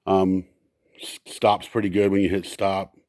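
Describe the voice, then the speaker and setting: A man with a fairly low voice talks casually through a hands-on gear test. The sound is dry and close, with little room around it. He explains in a halting, unscripted way, circling back to correct himself. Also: completely flat delivery, darkish tone, no room sound. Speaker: presenter testing vintage audio gear. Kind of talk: casual, unscripted demonstration talk.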